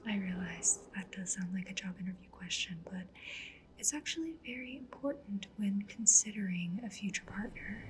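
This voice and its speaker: Female, breathy whisper, mid pitch. ASMR matchmaker interviewer, gentle and attentive, with clear ambient noise and writing sounds behind. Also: lively intonation. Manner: gentle, unhurried, attentive questioning. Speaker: ASMR roleplay performer. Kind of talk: soft-spoken whispered ASMR roleplay interview. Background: sci-fi ambience, writing sounds.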